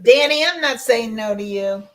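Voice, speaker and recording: A woman, chatting in a lively, wry way over a video call. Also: on the high side, normal speed. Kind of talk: casual group chat.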